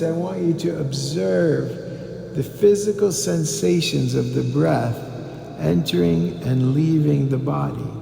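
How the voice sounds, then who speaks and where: A man leading a guided meditation as a teacher, giving calm, deliberate instructions with pauses. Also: a little high, creaky. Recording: echoey hall with background noise.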